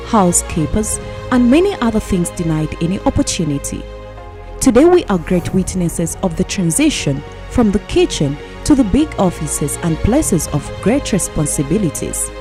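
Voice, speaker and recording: A woman's voice: mid-range voice, sing-song, normal speed. A woman announcer reading a scripted show promo in a declamatory voice-over. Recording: voice-over with music.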